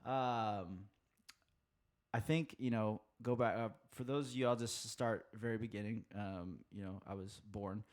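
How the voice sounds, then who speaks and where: A man in his thirties talks loosely as a podcast guest. He rambles, filling gaps with lots of "you know" and "like". His voice sits a little on the low side. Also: a little slow. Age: 30s. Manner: relaxed, rambling with many fillers and restarts. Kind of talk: casual conversation.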